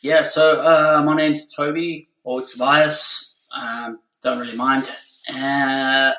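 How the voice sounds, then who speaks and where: A man introducing himself as an interview guest, hesitant and pausing often. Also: mid-range voice, flat delivery, bright, forceful tone. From South Africa. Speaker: podcast guest, former Royal Marine. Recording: echoey room.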